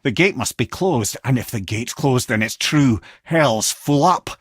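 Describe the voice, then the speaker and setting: A man's voice: mid-range voice, expressive, unhurried, slightly rough, dry recording, studio quality. An actor voicing a wise, wry older Scottish man, a talking severed head in a video game, with a theatrical, folksy manner. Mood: alarmed. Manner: wry, theatrical, folksy, addresses listeners as brother and lad. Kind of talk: expressive video game character acting, storytelling and banter.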